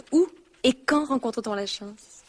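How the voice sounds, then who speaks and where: An actress playing a sharp-tongued young wife in her twenties, in a 1960s radio drama. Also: high-pitched, expressive, full character voice. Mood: cheerful. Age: 20s. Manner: teasing, quick and mocking.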